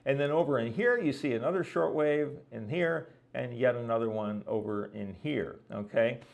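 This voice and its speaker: A man's voice: mid-range voice, normal speed, vocal fry. A man giving an explanatory lesson as a weather presenter, talking conversationally and often checking in with the listener. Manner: didactic, conversational, frequently checking with "okay?". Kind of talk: explanatory lecture with visual examples.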